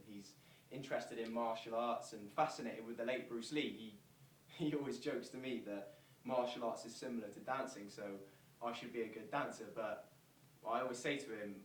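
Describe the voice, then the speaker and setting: A man's voice: mid-range voice, close to an everyday voice. A man playing a modest, starstruck friend of a celebrity in a stage monologue. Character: starstruck, modest man befriended by a pop star. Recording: distant, echoey, noisy stage recording.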